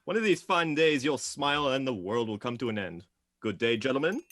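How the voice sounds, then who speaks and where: A man's voice: medium pitch, lively intonation, a little fast, fairly bright tone, no room sound, studio quality, natural voice. A man playing a cheeky, self-important village postman in a radio play, folksy, garrulous and cheerful. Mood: cheerful, boastful.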